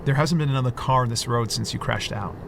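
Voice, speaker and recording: A man's voice: mid-range voice, fast, gravelly, fairly bright tone, a little hiss or hum. A man with an American accent playing a goofy, easygoing husband in his thirties in a naturalistic script reading. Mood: defensive. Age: 30s. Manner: laid-back, joking. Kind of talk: screenplay table-read acting, naturalistic dialogue.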